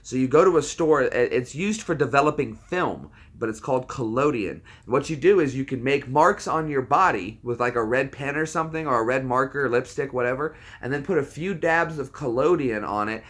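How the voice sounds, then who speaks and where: A man's voice: mid-range voice, brisk, hardly any pauses, fairly bright tone. A man in his thirties hosting a livestream, chatting casually with viewers in a rambling, laid-back way. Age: 30s. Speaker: livestream host.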